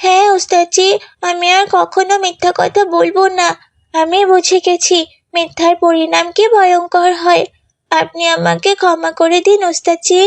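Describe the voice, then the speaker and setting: A woman's voice: very high-pitched, little pitch movement, normal speed, dry recording. A woman voicing a timid, apologetic young girl in a children's moral cartoon, with a pleading, childlike manner. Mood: remorseful.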